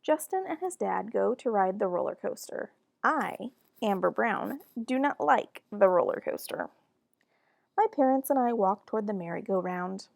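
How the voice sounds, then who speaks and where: A woman reading a children's storybook aloud in an expressive, deliberate and animated narration. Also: mid-range voice, vocal fry, dry recording. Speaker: children's book reader.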